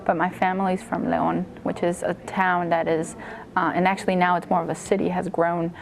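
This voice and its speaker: A woman's voice: on the low side, flat delivery, normal speed, vocal fry, a crowd in the background, poor audio. A woman from Mexico recounting her family's history in an oral history interview, often correcting herself as she goes.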